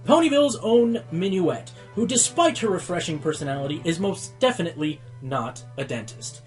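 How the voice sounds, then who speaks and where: Guy acting as snarky pony radio presenter, pitch goes all over, gets exasperated, light music. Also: lively intonation, normal speed, a little mellow. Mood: wry, presenting. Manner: wry, dry teasing. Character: wry pony radio host, easily exasperated. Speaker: radio show host.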